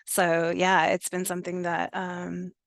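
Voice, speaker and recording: A woman speaking as a podcast guest, relaxed and a little hesitant, with frequent fillers. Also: fairly low pitch, measured pace, dry recording, studio quality. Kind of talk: casual conversation.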